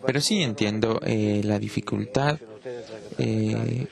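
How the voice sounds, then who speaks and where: Man, gravelly low voice, non-native speaker, halting lecture, many pauses, crowd noise behind. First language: not Spanish. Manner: halting, frequent "eh" pauses and restarts. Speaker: Kabbalah teacher. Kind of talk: unscripted lecture.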